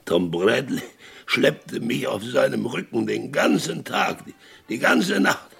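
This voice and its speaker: An actor playing a boastful, haunted, whisky-drinking old former sheriff in his eighties, halting and dramatic, in a German western radio play. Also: mid-range voice, big pitch swings, a bit bright, full character voice. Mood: haunted, eager. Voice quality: gravelly. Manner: halting, repeating himself, dramatic.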